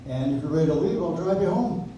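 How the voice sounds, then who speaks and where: A man's voice: fairly high pitch, lively intonation, fast, mellow, natural voice. A man playing a friendly, easygoing family friend in a live radio play, recorded from the audience. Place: coffee house stage, recorded from the audience. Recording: echoey, distant audience recording with noise.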